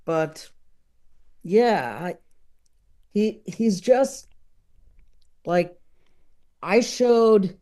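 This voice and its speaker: A man chatting casually as a podcast guest, talking in a halting, rambling way. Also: high voice, lively intonation, mellow. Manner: halting, rambling, with chuckling asides. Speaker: podcast guest, comedy enthusiast. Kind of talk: casual interview conversation.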